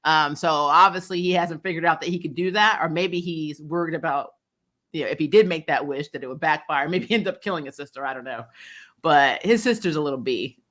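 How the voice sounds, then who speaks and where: A woman with a fairly low, bright and forceful voice hosts a casual recap. She is blunt and opinionated, retelling scenes and dialogue in chatty fashion, unafraid of mild swearing. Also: a little fast. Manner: animated retelling, acting out dialogue, prone to chuckling; blunt, opinionated, mildly profane. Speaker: host of a TV recap show. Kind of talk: casual episode recap and commentary.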